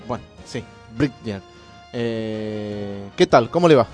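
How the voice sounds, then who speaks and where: Halting male radio host over faint music. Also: fairly low pitch, expressive. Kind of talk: casual chat in a comedy radio show.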